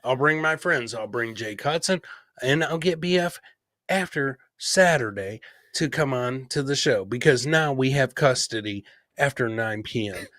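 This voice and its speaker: Punchy, bright-voiced male podcast host. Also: mid-range voice, expressive, measured pace, no room sound, clean studio sound. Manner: blunt, profane, buddy-like talk. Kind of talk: casual podcast chat.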